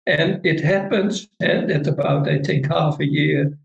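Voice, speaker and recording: A middle-aged Dutch man with a Dutch accent, a Vedic meditation teacher speaking halting, non-native English in a casual interview. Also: a little high, vocal fry, roomy sound, dull audio. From Netherlands.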